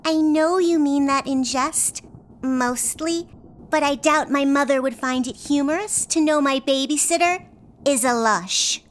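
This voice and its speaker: Woman playing a high-voiced, precocious little girl. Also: measured pace. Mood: dry, prim. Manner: precise, matter-of-fact, condescending. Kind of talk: comic radio play acting.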